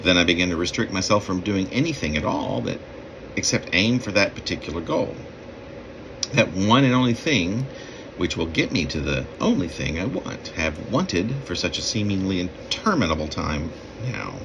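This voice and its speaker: Male poet, 50s, low register with swooping intonation, deliberate halting delivery of own verse. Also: big pitch swings, background noise. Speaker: poet reading own work. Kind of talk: poetry reading. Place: small room indoors.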